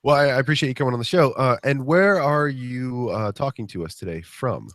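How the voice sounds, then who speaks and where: A man's voice: medium pitch, expressive, a little fast, no room sound. A man hosting a podcast interview, congested from a cold, upbeat and joking with plenty of fillers. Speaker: podcast host.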